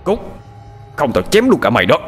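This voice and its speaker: A man's voice: medium pitch, wildly swooping pitch, fairly quick, faint music behind, voice pushed up. A man voicing a brutal old village tyrant who barks threats and curses. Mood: commanding. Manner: barking, snarling threats.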